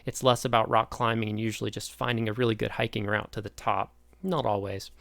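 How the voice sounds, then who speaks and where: Gravelly-voiced man lecturing with enthusiasm. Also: lowish voice, fairly even intonation, brisk, a bit bright, dry recording. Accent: Midwestern American. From East Tennessee, Appalachia. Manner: enthusiastic, chatty, asks the listener questions. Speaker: sociology lecturer. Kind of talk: recorded online lecture.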